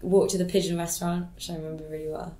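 A woman in her late twenties from Hong Kong whose first language is French, telling her story in a relaxed, easygoing way. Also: lowish voice, rapid-fire, echoey room. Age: late 20s. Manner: relaxed, laughing easily, storytelling. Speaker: guest. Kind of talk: casual interview answers.

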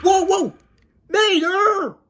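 A woman's voice: on the low side, big pitch swings, moderate pace, music in the background, pitched below the performer's own voice. Woman acting out a bossy, exasperated cartoon car. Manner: scolding, impatient, instructing.

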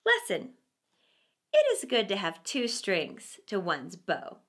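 A middle-aged woman reading aloud to children as a storyteller, with theatrical, deliberate phrasing. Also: medium pitch, huge pitch swings, a bit bright, faint music behind. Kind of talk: expressive read-aloud for children.

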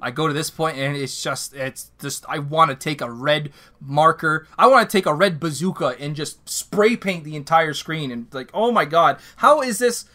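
A Canadian man on a podcast, talking in a lively, ranting, self-mocking way. His voice sits a little high and sounds bright and forceful. He chats casually over a video call with his co-host. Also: normal speed. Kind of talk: casual chat.

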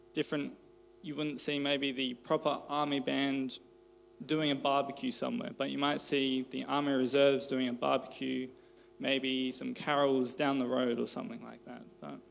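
A young Australian man in his twenties, answering questions on a church stage through a handheld mic, relaxed and loose with plenty of fillers. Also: medium pitch, vocal fry. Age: 20s. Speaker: interviewee, church member. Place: church stage, large hall.

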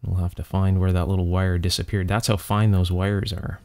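A man's voice: low-pitched, flat delivery, normal speed, mellow. Man methodically explaining a hands-on repair. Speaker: electronics repair host. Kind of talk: hands-on repair demonstration, talking while working.

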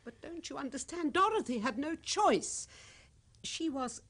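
An actress playing a stern, devout old grandmother in a 1970s radio drama, speaking gravely and imperiously in measured theatrical phrases. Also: sits high, lively intonation, fairly bright tone, heavily acted. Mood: grave, foreboding. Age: older.

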